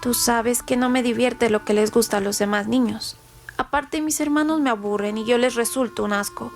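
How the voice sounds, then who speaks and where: A woman's voice: a little high, fairly even intonation, moderate pace, faint music behind, natural voice. A woman playing a cold, sadistic, withdrawn young boy, confessing in a detached, matter-of-fact way in a radio play. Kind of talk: dramatic first-person radio play monologue.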